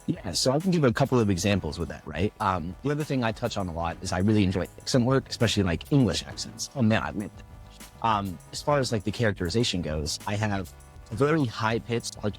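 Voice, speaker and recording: A young man talks about his voice work in a relaxed interview, telling stories with lively, swooping intonation. His voice sits a bit low, and he slips into quoted voices as he goes. Soft music plays faintly in the background. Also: fast talker. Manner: animated storyteller, acts out quoted voices. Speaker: voiceover artist, guest. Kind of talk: casual interview chat.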